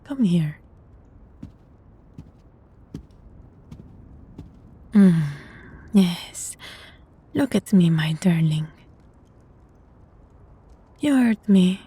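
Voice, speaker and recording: Casting: female actor for a sadistic vampire mistress, unhurried and darkly mellow, possessive and condescending, intimate audio roleplay. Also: on the low side, expressive, heavily acted. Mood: commanding, teasing. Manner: slow, teasing, condescending, often dropping to a breathy whisper.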